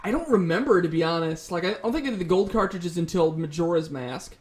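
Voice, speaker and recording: A man's voice: high-pitched, expressive, a little fast. A man speaking as a panelist in a casual gaming discussion, conversational and careful to qualify his opinions.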